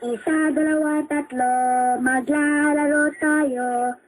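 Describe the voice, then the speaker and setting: A woman's voice: sits high, steady tone, slow, a little hiss or hum. A woman playing a young child chanting a playful counting rhyme in a radio ad. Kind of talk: sing-song rhyme in a radio ad.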